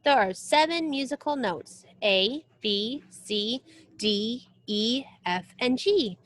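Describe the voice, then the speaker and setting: A woman reading a children's music book aloud as a teacher, expressive and explanatory. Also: a little high, slow. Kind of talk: read-aloud lesson for children.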